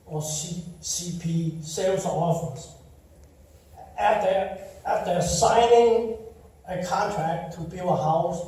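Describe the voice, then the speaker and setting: An older man with a Chinese accent, Taiwanese his first language, giving a slow, warm eulogy full of gentle storytelling at a memorial service. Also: sits high. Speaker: eulogy speaker. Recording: echoey hall, distant mic.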